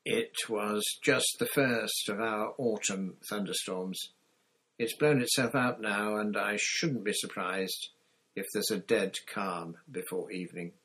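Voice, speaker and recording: A man voicing a calm, assured seafaring uncle in a children's story. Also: lowish voice, takes it slowly, slightly rough, full character voice. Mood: reassuring. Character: calm, reassuring adult uncle, a seasoned sailor.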